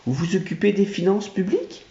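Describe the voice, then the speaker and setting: Male voice as a calm, dry-witted questioner, mellow tone, lo-fi room sound. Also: high voice, brisk, voice pushed up. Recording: roomy, dull home recording.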